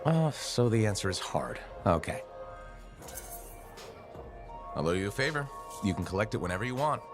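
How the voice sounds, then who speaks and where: Lively, low-voiced wry freelancer. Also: male voice, fast talker. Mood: friendly, curious. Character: easygoing male freelancer pilot, wry, friendly banter. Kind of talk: video game dialogue. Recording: music behind the voice.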